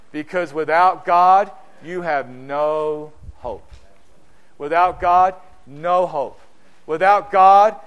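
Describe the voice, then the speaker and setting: A man from New Zealand with a New Zealand accent preaching a sermon, building to loud, rising repeated phrases and dropping low for warnings.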